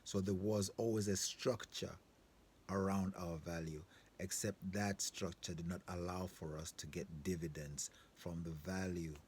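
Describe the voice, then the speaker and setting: A man's voice: low-pitched, steady tone, unhurried, a little mellow. A man from southern Africa hosting an informal, opinionated monologue, speaking emphatically with repetition and frequent rhetorical check-in questions. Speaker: host. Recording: clear background ambient noise.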